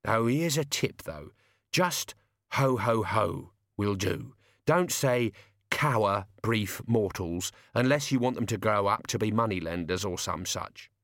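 Man playing a wry old manservant, lively swooping pitch. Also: unhurried, dry recording, studio quality. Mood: coaxing. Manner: colloquial, deferential, wry. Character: old male manservant, wry, coaxing, colloquial helper.

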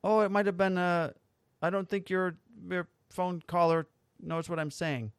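An indignant foreign woman caller with a Polish accent, stumbling through broken English and pitched up above a man's natural range, as a man quotes her in a dry, close recording. She sounds defensive and easily offended. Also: steady tone, normal speed, smooth, dry recording, natural voice.

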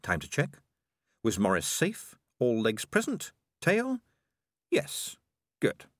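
A man's voice: mid-range voice, wildly swooping pitch, slow pace, dry recording, clean studio sound, full character voice. A man voicing a smug, self-preserving male cat in a wry inner monologue from a storybook. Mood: anxious checking.

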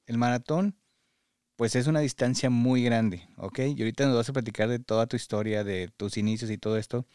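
A man's voice: medium pitch, fairly even intonation, brisk, darkish tone, smooth, dry recording, clean studio sound. Mexican man hosting a conversational podcast. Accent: Mexican Spanish. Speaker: podcast host interviewing a guest.

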